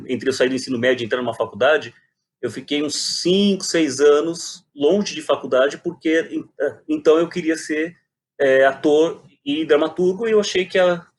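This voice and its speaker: A man, telling his story reflectively and animatedly in a conversational interview. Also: on the high side. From Brazil. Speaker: guest, playwright and poet.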